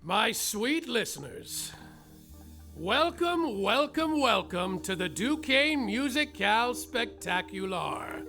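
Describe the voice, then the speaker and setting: Guy doing a drawly stoner detective narrator, real high and swoopy, takes his sweet time. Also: pushed and bright, heavily acted. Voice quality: florid, drawling, grandiloquent. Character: grandiose adult male detective narrator, smooth and stoned. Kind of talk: theatrical narration on a live stage. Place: live theater stage.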